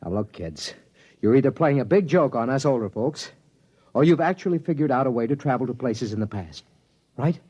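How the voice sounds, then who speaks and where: A man's voice: medium pitch, lively intonation, a little fast, mellow, slightly rough. An actor playing an earnest, firm but worried young father, acting and narrating in a 1950s radio drama. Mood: bewildered. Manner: earnest, by turns stern and subdued. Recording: muffled vintage 1950s radio recording.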